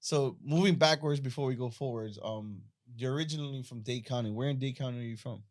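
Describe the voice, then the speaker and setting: A man hosting a podcast, asking questions in an eager, animated way. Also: medium pitch, fairly quick. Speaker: podcast host. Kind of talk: casual interview.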